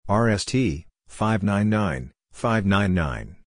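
Male narrator for a phrase drill: deep, slow, clipped and measured delivery. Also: lively intonation, no echo, clean studio sound. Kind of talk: scripted read-out of short radio phrases.

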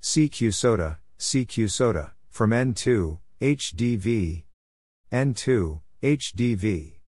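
A man narrating Morse code practice, reading scripted radio exchanges and spelling out letters and numbers deliberately. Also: on the low side, expressive, darkish tone, no room sound, studio quality.

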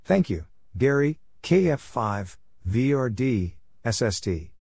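A man's voice: medium pitch, big pitch swings, takes it slowly, gravelly, darkish tone, breathy edge, no room sound. A man announcing Morse code practice, reading letters, numbers and short phrases in clipped, separated words.

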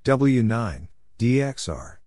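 A man's voice: mid-range voice, big pitch swings, slow pace, a little mellow, dry recording, clean studio sound. A man reads out a practice drill in short, isolated phrases, spelling out letters and numbers one by one. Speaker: Morse code practice narrator. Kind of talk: spoken drill readout of radio exchanges.

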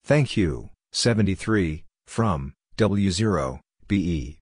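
A man reading scripted practice phrases as a narrator, in a deliberate, clipped, phrase-by-phrase delivery. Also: deep voice, expressive, husky, dry recording, clean studio sound. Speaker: Morse code practice narrator.

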